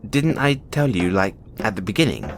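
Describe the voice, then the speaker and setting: A man playing a cocky, playful, imprisoned experiment who calls himself an emperor, with halting, teasing, flirtatious delivery in an audio roleplay. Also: lowish voice, very animated, music in the background. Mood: casual, boastful. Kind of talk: audio roleplay acting addressed to the listener.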